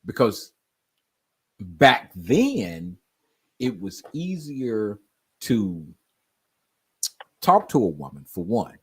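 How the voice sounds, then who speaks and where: A man's voice: lowish voice, very animated, drawn-out words, long pauses, a bit bright, husky. A man in his forties telling stories in casual chat, often asking "you know what I mean?". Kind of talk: casual chat on a live stream.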